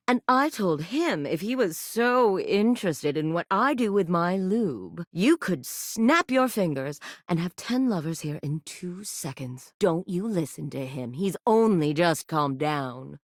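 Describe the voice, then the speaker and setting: Woman playing a living sex toy, sultry, teasing, supremely confident, low voice, very slow, close dry studio sound. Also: no room sound. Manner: sultry, teasing, suggestive drawl. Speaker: voice actor. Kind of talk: video game character voice lines. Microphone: close studio mic.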